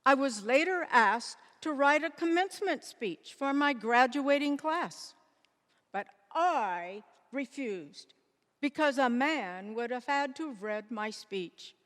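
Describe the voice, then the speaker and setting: A woman performing a dignified, resolute nineteenth-century women's rights pioneer in a scripted stage monologue, with measured, deliberate oratory. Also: sits high, lively intonation, a bit bright, heavily acted. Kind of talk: scripted readers theater monologue. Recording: echoey hall with long reverb.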